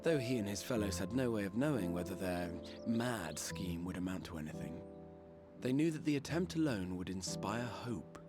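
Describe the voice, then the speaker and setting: An actor voicing an earnest, noble, weary fantasy game hero who speaks formally and eloquently. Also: male voice, fairly low pitch, lively intonation, over music, lightly acted. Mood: wistful, hopeful. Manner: formal, eloquent, measured storytelling. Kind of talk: dramatic video game voice acting.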